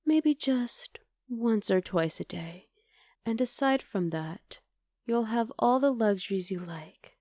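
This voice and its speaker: Woman playing a soft-spoken scheming witch. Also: fairly high pitch, expressive, takes it slowly, no echo, studio quality. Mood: cool, menacing. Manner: soft, intimate, coolly calculating, often half-whispered. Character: scheming, possessive adult female witch. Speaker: voice actor. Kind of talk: ASMR roleplay.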